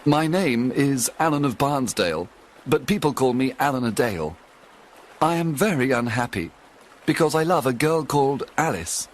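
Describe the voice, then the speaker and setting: A man's voice: medium pitch, lively intonation, normal speed, pitched above the performer's own voice, lightly acted. A man voicing an unhappy young minstrel in love, plaintive in a storybook reading. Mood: sad.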